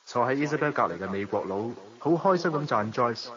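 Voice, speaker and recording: An actor playing a suave, romantic bachelor, measured and indulgent, in a Hong Kong Cantonese radio drama. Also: male voice, mid-range voice, lively intonation, a bit bright, dull audio, lightly acted. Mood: reflective. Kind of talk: radio drama dialogue and reflective narration.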